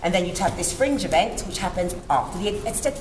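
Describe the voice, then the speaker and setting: A woman's voice: fairly low pitch, fairly quick. Emphatic woman in an echoey room. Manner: emphatic, passionate, animated. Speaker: panelist. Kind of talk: panel discussion. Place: room at a youth forum event. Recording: echoey room with background noise.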